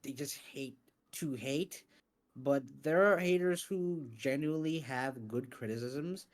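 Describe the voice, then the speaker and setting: A man being interviewed about his voice acting and scriptwriting, talking in a casual, animated way with lots of fillers and some swearing. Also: mid-range voice, unhurried. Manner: animated, swears freely, many fillers and restarts. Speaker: interview guest, voice actor and scriptwriter.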